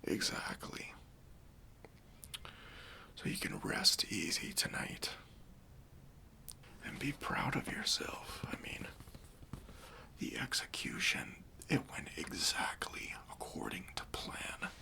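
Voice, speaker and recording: A man playing a kindly, reassuring bank robber in a soft, breathy ASMR roleplay, speaking gently and casually to an accomplice. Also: on the low side, big pitch swings, takes it slowly, pauses often, exaggerated voice. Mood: soothing, reassuring. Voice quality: breathy, near-whispered.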